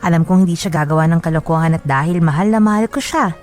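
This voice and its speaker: A woman acting a wistful young woman who narrates her love troubles as a letter in a radio drama, measured and confiding. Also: lowish voice, close to an everyday voice. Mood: wistful, troubled. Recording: soft music bed.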